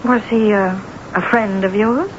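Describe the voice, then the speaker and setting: An actress playing an imperious, brittle, self-absorbed lady novelist and wife in a radio drama, with a theatrical, high-strung delivery. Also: steady tone, unhurried, natural voice. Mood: defensive, haughty. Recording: muffled, noisy vintage radio broadcast.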